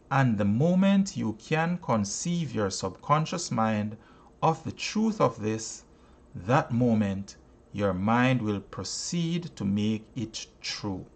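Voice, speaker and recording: A man reading a self-help audiobook aloud in a deliberate, emphatic, preacherly way. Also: medium pitch. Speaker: audiobook reader and teacher.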